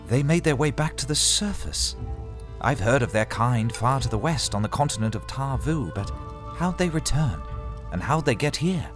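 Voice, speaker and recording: Man doing an eager knight, very lively and questioning, music clearly underneath. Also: medium pitch, normal speed, close to an everyday voice. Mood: curious. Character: Turum, earnest questioning male knight. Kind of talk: audio drama acting.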